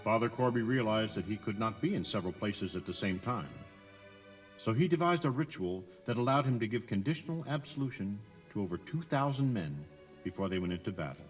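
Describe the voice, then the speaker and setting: A man's voice: on the low side, expressive, brisk. A man giving scripted, dramatized tour narration in an emphatic, theatrical storytelling manner. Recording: 1980s cassette tour tape, music behind.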